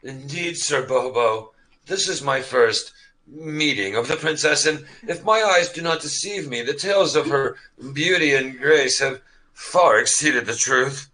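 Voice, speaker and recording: A man's voice: medium pitch, measured pace, pushed and bright, poor audio. A man playing a chivalrous but cowardly knight in a mock-medieval comedy sketch, with formal, pompous, theatrical phrasing. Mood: dismayed. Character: florid, chivalrous young male knight, secretly cowardly.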